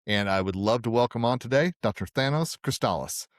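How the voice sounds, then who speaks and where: A man hosting a podcast, asking his guest brisk, polite questions. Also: medium pitch, no room sound, clean studio sound. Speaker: podcast host. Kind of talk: podcast interview.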